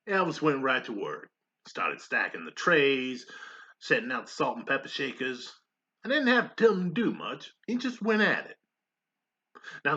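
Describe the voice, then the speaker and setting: A man playing a folksy army staff sergeant and mess cook, telling his story in a theatrical monologue with pauses and emphatic swells. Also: medium pitch, pushed and bright. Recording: dull, lo-fi sound.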